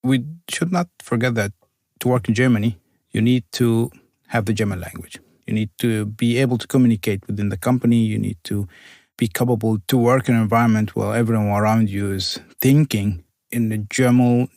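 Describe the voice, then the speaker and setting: Gravelly-voiced man, Arabic native speaker. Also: on the low side, darkish tone, no echo, studio quality. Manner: careful, searching for words, slightly non-native phrasing. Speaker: university program coordinator. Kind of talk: conversational interview answers.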